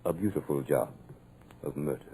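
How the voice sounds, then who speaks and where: An actor playing an insane, commanding villain, mockingly polite and theatrical, in a 1940s radio horror drama. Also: male voice, a bass voice, moderate pace. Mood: mocking, sinister. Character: insane, smoothly commanding villain who controls minds. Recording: old radio recording.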